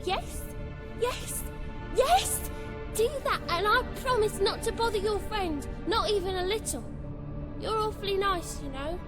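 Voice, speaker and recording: A woman's voice: extremely high pitch, expressive, a little slow, music in the background. An actress playing a mischievous, cheeky little girl godling in a video game, playful and childish. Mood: excited, pleased. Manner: playful, cheeky, refers to self in third person. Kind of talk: video game character acting.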